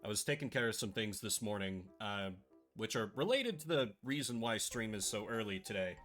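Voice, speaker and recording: A man's voice: on the low side, expressive, normal speed, a bit bright, soft background music. Playful man streamer, slightly scratchy voice. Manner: playful, sarcastic, chatty. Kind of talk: casual live-stream chat.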